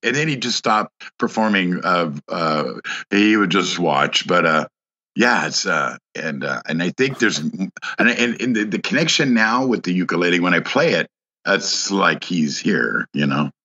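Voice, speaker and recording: Halting man with a bright voice. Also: low-pitched, lively intonation, highs cut off. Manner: halting, many restarts and fillers. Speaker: podcast guest. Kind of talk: casual conversation, personal story.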